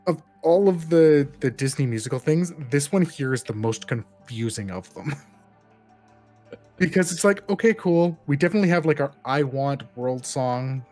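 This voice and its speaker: A man's voice: on the high side, animated, normal speed, a little mellow, faint music behind. A man co-hosting a podcast, casually discussing films and thinking aloud in loose, chatty fragments. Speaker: podcast co-host.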